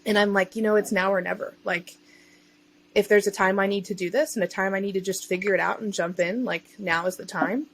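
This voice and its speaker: A woman's voice: medium pitch, fairly even intonation, brisk, slight background noise, low-quality recording. Chatty woman telling her story casually. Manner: chatty, informal, self-interjecting. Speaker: podcast guest; self-employed digital marketer and online bookseller.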